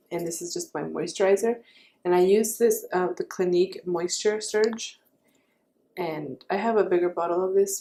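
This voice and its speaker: Raspy young woman, mellow tone. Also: on the low side, a little hiss or hum. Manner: chatty, self-correcting, friendly advice. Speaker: beauty vlogger. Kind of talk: casual makeup tutorial.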